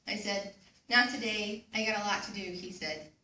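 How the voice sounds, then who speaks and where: A woman's voice: medium pitch, moderate pace, pushed and bright. A young woman narrating scripted dramatic pieces as a student reader in a readers theater. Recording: echoey room, distant mic, faint noise.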